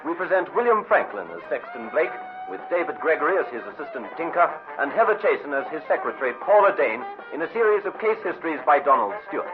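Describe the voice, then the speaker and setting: A man's voice: a little high, lively intonation, quick pace, phone-quality sound, over music. A man announcing a radio series with a scripted dramatic introduction in old broadcast style.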